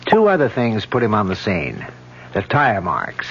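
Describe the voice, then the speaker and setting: An actor playing a dogged, plain-spoken police detective, speaking in matter-of-fact clipped phrases in an old-time radio mystery. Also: male voice, on the low side, huge pitch swings, measured pace, fairly bright tone, husky, exaggerated voice. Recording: dull old radio broadcast.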